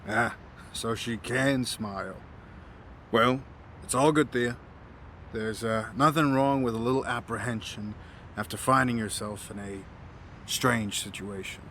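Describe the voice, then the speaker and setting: A man's voice: mid-range voice, unhurried, long pauses, a bit bright, a little hiss or hum. An actor playing an easygoing, kindly homeless man in an audio drama, with a folksy, teasing manner. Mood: gentle, teasing.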